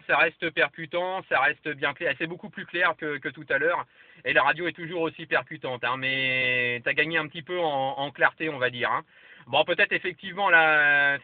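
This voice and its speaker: A man's voice: on the high side, a little fast, dry recording. A man chatting casually as a radio operator and giving signal reports. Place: parked vehicle. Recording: lo-fi camera audio, muffled, dry.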